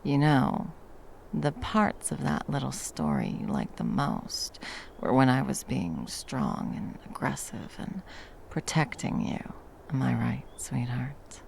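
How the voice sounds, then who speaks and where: Casting: actress as battle-worn female monster hunter, tender and teasing, very expressive pitch, fry-edged, intimate ASMR roleplay, faint background noise. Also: heavily acted. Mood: flirtatious. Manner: soft teasing, slow, breathy drops into whispers. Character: battle-worn woman monster hunter, gruff but tenderly protective lover.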